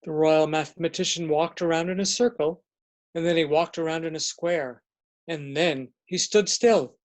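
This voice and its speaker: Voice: medium pitch, normal speed. A narrator reading scripted story narration in a readers theater.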